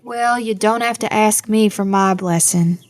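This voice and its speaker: A woman playing a level-headed, guarded young adult daughter in an audio drama, measured and dry in manner. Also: mid-range voice, lightly acted.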